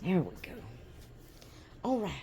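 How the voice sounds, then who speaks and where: A hoarse woman rambles through a casual home vlog at an unhurried, drawn-out pace. Her voice sits a little low, and she circles back with fillers and restarts. A faint hum of room ambience sits behind her. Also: wildly swooping pitch, warm tone. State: hoarse from sneezing. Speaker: doll channel vlogger. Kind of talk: casual chat. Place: at home indoors.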